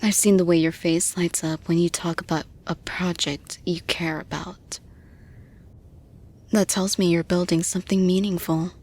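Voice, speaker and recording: A woman playing a composed, supportive female boss in an intimate roleplay audio, speaking to the listener in a measured, reassuring, soft manner. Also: lowish voice, flat delivery, close to an everyday voice. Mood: calm, reassuring.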